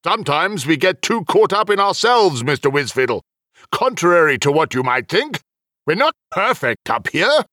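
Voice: mid-range voice, very animated, normal speed, sharp tone, dry recording, clean studio sound, pitched above the performer's own voice. A narrator voicing a stern, officious male celestial official with a formal, admonishing manner, in a fantasy audiobook. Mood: kindly.

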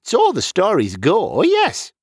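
Tipsy fantasy wizard played by a man: husky, very animated pitch, cheeky and flippant, audiobook style. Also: takes it slowly, no echo, studio quality, heavily acted. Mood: cheeky, bargaining. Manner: cheeky, wry, colloquial. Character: tipsy, flippant male wizard, fond of ale.

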